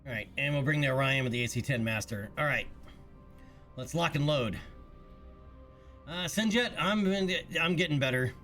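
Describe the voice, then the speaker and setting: A man's voice: mid-range voice, normal speed, pauses often, bright, forceful tone, faint music behind. A man streaming a game, thinking aloud in casual chat while congested from a recent cold. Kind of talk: casual gameplay commentary.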